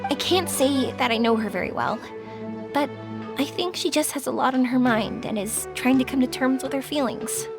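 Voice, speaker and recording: A woman's voice: sits high, moderate pace, music in the background. A woman voicing an upbeat, caring young woman in a video game. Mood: reassuring. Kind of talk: scripted video game dialogue.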